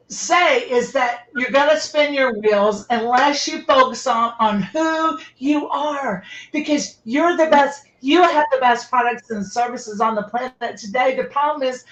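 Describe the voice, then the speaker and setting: Here is a woman in her late fifties pitching her branding advice, with a high voice and an eager, salesy delivery. Her audio sounds roomy and dull, as if captured through a live stream. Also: unhurried, fairly bright tone. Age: 50s. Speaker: guest, branding coach. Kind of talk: conversational promotional talk.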